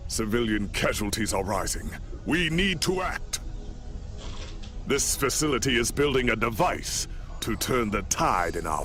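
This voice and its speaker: Grim sci-fi fleet commander, formal, commanding speeches, resolute, game voice work over music. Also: male voice, medium pitch, a bit bright. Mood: grave, stern. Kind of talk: video game voice acting. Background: background music.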